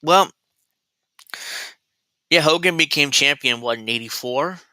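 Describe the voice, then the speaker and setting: A man in his thirties hosts a casual commentary show with a very bright, tense voice that sounds smooth and crisp. He rambles and digresses, restarting his sentences often. The sound is dry, close and free of room echo. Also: on the high side, a little slow. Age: 30s. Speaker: host.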